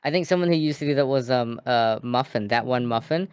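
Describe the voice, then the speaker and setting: Brief: young male streamer co-host, smooth clear voice, excitable and slangy, dry mic. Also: mid-range voice, fairly quick, no room sound. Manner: excitable, reads chat aloud, slangy. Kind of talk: casual chat.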